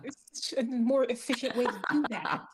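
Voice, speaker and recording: A woman's voice: on the high side, normal speed, noisy background. A woman playing a tabletop game, casually and playfully narrating her character's actions.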